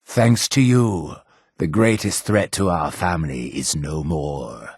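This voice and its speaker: A man's voice: rumbling low, slow pace, a little mellow, husky, no room sound, studio quality, heavily acted. An actor playing a zealous, imperious cult leader in a video game, preaching to his flock in a solemn, grandiose manner. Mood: solemn, approving. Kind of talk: theatrical video game character dialogue.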